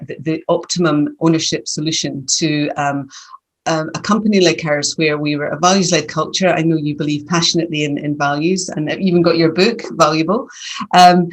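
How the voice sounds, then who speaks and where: A middle-aged woman with a Scottish accent, a guest in a business interview, speaking articulately with some fillers and repeated words. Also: low-pitched. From Scotland, near Glasgow. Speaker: guest, company director. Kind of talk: interview conversation.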